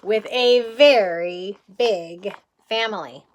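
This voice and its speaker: A woman's voice: mid-range voice, slow pace, dull audio. A woman teacher reading a picture book aloud to young children, expressively, explaining things simply and asking questions. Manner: asks listeners questions, explains words simply; expressive storytelling, often chuckling. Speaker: kindergarten teacher.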